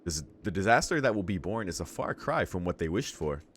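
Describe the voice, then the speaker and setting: Man, low pitch, let's-play narration, casual reactions, halting asides, faint noisy background. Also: very animated, a bit bright. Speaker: let's-play host reading a visual novel aloud and reacting. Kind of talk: dramatic read-aloud narration mixed with casual reaction commentary.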